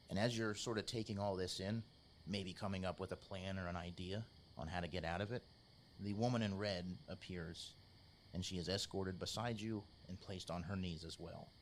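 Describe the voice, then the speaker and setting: A man narrating a tabletop role-playing game as game master, in a measured, informal, descriptive storytelling style. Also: low-pitched, slight background noise. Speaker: game master, podcast host.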